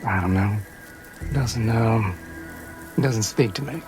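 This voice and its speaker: A man playing a tabletop game, narrating and speaking for his character in a halting, understated way. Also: fairly low pitch, over music. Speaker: tabletop roleplay player. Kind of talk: in-character fantasy narration with acted dialogue.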